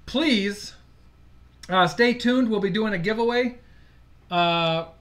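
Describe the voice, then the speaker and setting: A man's voice: high voice, slow, fairly bright tone. A man from Michigan co-hosting a casual live stream, chatty, laughing easily and losing his place now and then. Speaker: livestream co-host.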